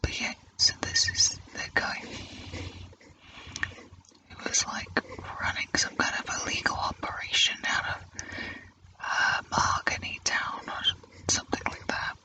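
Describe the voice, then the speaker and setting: Very slow, crisp whispered ASMR voice. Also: pushed and bright, distant mic, low-quality recording. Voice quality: breathy, unvoiced whisper. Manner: unhurried, informal, musing while drawing. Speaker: host of a drawing ASMR channel. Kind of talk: whispered ASMR, casual drawing commentary. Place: indoors at a drawing easel.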